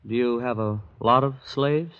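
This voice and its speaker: A man's voice: mid-range voice, unhurried, clean tone, dry recording, natural voice. An actor playing an earnest, wide-eyed young American visitor who marvels and narrates his tale in a vintage radio drama. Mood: amazed, excited. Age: young adult. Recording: muffled vintage radio recording.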